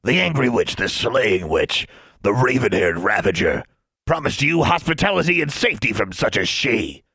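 A man's voice: medium pitch, sing-song, normal speed, gravelly, bright, forceful tone, husky, no echo, pitched above the performer's own voice, full character voice. A man voicing an embittered, scarred male dwarf who declaims in old-fashioned, inverted phrases. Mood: accusing.

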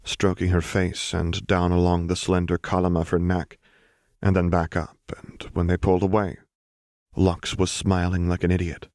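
Male audiobook narrator, very deep register, dry sound, scripted fiction. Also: completely flat delivery, normal speed, a little mellow, no room sound.

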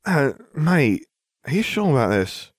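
A man voicing a nervous, slow-witted teenage boy sidekick who sounds anxious and bewildered. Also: on the high side, big pitch swings, dry recording, clean studio sound, voice pushed up.

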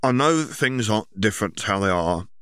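A man's voice: on the low side, expressive, brisk, a bit bright, clear, resonant tone, dry recording. A man voicing a blunt, grudging teenage boy in an audiobook story, talking casually. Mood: reluctant.

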